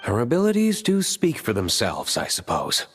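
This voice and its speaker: A man playing a suave, composed gentleman in a video game, with measured, polished and wry delivery. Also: on the low side, huge pitch swings. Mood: wry, reflective. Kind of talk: video game cutscene acting. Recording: long hall reverb, music behind.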